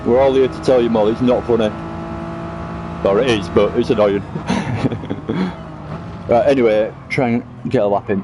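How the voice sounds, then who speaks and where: A man's voice: medium pitch, normal speed, long pauses, background noise. A man giving casual, chatty commentary while playing a racing game, laughing often and teasing friends. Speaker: sim racing streamer. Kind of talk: casual live-stream gameplay commentary. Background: music.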